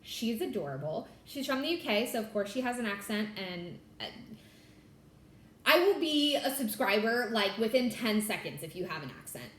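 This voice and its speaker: A woman's voice: on the high side, very animated, moderate pace, reverberant, slight background noise. A young adult woman from California, chatting casually to camera as the host of a stitching vlog, bubbly and full of fillers like "like" and "y'all". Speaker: Flosstube host.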